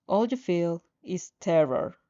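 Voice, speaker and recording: A woman's voice: lowish voice, lively intonation, slow pace, dark tone. A woman narrating fan fiction as a dramatic story reading, on slightly dull audio.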